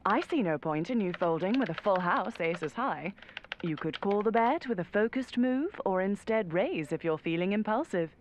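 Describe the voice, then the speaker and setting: An actress playing a poised, dryly amused spy handler who guides an agent through an interactive audio game. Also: female voice, medium pitch, animated, normal speed, slight background noise, natural voice. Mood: composed. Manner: cool, composed, wry. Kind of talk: scripted interactive game narration.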